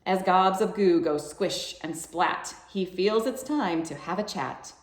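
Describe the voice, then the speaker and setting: A woman's voice: on the low side, unhurried. A woman reading aloud expressively at a children's storytime, coaxing young listeners with questions. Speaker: children's library storytime reader. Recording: echoey room sound.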